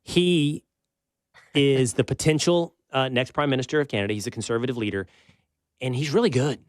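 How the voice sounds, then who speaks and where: A man with an American accent hosting a talk show, giving conversational, emphatic political commentary with pauses for effect. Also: mid-range voice, no echo, studio quality. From United States.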